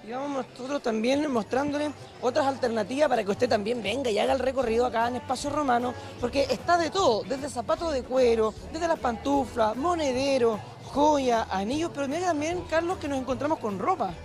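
A young man with a Chilean accent, a TV reporter walking a craft fair and pitching it to viewers with a handheld mic. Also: brisk, few pauses. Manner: upbeat, persuasive, addresses viewers directly. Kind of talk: promotional TV fair walkthrough. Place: indoor craft fair hall. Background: background music.

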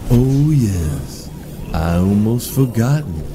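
A man's voice: on the low side, lively intonation, slow, noisy background. A man in an audio drama playing a menacing, taunting cult villain with a theatrical, declaiming manner. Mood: smug, taunting. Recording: loud music behind the voice.

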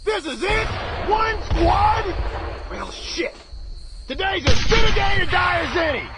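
An actor playing a gruff, blunt, commanding American army officer in a war video game. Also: male voice, very high-pitched, very animated, measured pace, over loud music, full character voice. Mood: fired up. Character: gruff, hard-bitten American army officer rallying troops.

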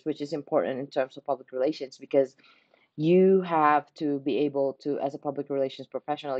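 Mellow-voiced woman, unhurried. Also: rumbling low, dry recording, lo-fi sound. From Philippines. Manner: unhurried, pausing to search for words. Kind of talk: unscripted reflective monologue. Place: small indoor room by a window.

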